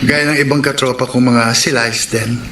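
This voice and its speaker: An actor playing a friendly, casual young job seeker in a radio drama. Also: male voice, mid-range voice, steady tone, moderate pace, pushed and bright, natural voice. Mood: easygoing, friendly. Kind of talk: Filipino radio drama acting. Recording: echoey room, clear background noise.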